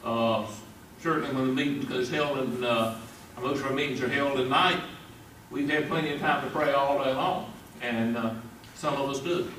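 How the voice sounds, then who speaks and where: A middle-aged man gives his view at a board meeting, plain-spoken, with frequent "uh" pauses. His voice carries from across a roomy hall with background noise beneath it. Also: mid-range voice, a bit bright. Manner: plain-spoken, many "uh" fillers. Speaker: school board member. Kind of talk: formal meeting discussion. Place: large meeting room. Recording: echoey room, far from mic, background noise.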